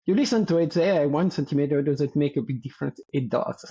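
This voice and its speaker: A man's voice: fairly high pitch, warm tone, husky. Muffled French-accented man around sixty. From France. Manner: halting, searching for words, laughing lightly. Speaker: guest athlete. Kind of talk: casual interview talk.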